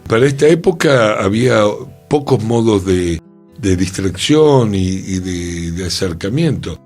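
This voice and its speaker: A male radio co-host who speaks in halting, broken-up phrases. He talks very slowly in a lowish voice, with soft music behind him. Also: lively intonation. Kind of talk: radio talk about theater history.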